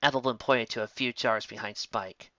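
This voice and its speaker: A man's voice: mid-range voice, steady tone, moderate pace, pushed and bright, no room sound. A man reading scripted fiction as a story narrator. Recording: slightly dull, close dry recording.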